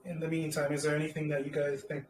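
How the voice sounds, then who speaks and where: A man's voice: a little high, little pitch movement, fast, slight background noise. A man hosting a live audio chat, upbeat and joking with the audience. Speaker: host.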